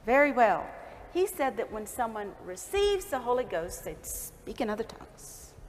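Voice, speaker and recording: Stage play: stubborn, scornful churchwoman played by a woman, high and animated, exasperated delivery, reverberant theatre. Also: normal speed, a little hiss or hum. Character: indignant prim early-1900s churchwoman, scornful and stubborn. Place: stage of a large theatre hall. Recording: echoey theatre hall.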